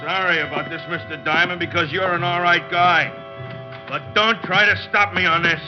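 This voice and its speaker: A man's voice: high-pitched, fairly even intonation, normal speed, natural voice. An actor playing a big, simple, loyal tough guy bent on revenge, speaking plainly and slangily in an old-time radio drama. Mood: stubborn. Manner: plain, blunt, slangy speech. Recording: muffled old radio recording with music.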